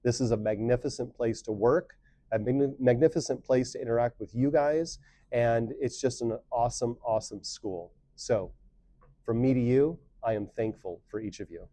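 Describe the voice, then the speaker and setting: A man on the school staff giving students an upbeat, encouraging weekly message, pushing louder on key words. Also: mid-range voice, normal speed, no echo. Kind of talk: scripted pep talk to students.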